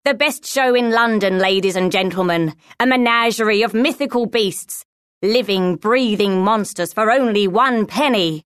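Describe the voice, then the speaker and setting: A woman voicing a stout, brusque fairground showman with booming, theatrical patter in a storybook reading. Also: normal speed, smooth, no room sound, studio quality, natural voice. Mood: booming, enticing.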